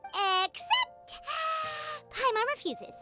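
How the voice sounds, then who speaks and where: A woman voicing a tiny, chirpy, opinionated fairy girl with a squeaky childlike voice in an animated video game. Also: extremely slow, loud music behind the voice, exaggerated voice. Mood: firm, opinionated. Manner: speaks of herself in the third person.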